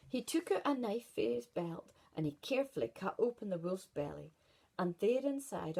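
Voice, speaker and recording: A woman teacher with a Scottish accent reading a storybook aloud to pupils and asking gentle questions. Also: mid-range voice, creaky, breathy edge. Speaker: primary school teacher.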